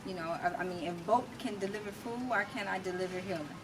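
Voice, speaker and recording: Woman, American accent, middle-aged, emphatic interview guest, mid-pitched voice, distant mic, clear background noise. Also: quick pace. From United States. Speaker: interview guest, massage therapist and music artist. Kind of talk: conversational interview.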